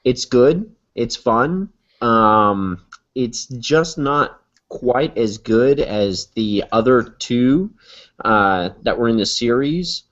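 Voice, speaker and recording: A man co-hosting a casual podcast, measured and hesitant, with many fillers. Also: mid-range voice, lively intonation, a bit bright. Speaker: podcast co-host. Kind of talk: casual chat. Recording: lo-fi podcast audio.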